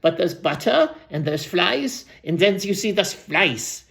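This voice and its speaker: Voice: medium pitch, sing-song, creaky, fairly bright tone, heavily acted. Someone doing a muddled old storyteller guy, fanciful and rambly, repeats himself, stumbles along in a kids tale. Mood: excited, explaining. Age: older. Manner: halting, repetitive, wandering off on tangents. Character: rambling old talking-human storyteller, forgetful, fanciful, jovial. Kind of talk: improvised children's storytelling.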